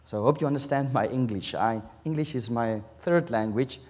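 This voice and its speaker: A man from South Africa with an Afrikaans accent, whose first language is Afrikaans, preaching a sermon in an earnest, halting way with restarts. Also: mid-range voice, a little mellow, big-room sound.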